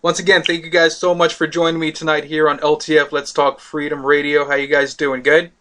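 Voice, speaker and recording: A man's voice: on the high side, level tone, brisk, fairly bright tone. A man from Miami hosting a casual talk radio show, rambling with many fillers.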